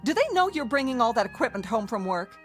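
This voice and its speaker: A bossy, contemptuous wife who never stops finding fault. Her delivery is sarcastic and highly animated, swinging widely in pitch. It is radio drama, with faint music behind the dialogue. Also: quick pace. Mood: sarcastic nagging. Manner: scolding, sarcastic, complaining. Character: shrill, domineering, bigoted nagging wife, former model.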